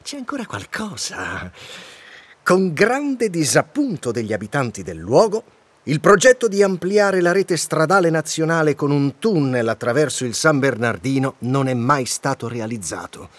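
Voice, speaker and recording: A man's voice: a little high, very animated, moderate pace, dry recording. A man acting in a radio drama as an eager, pedantic guide, didactic and fussy, declaiming what he reads. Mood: insistent. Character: eager, bookish male guide, pedantic and easily flustered. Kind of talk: radio drama dialogue with read-aloud guide text.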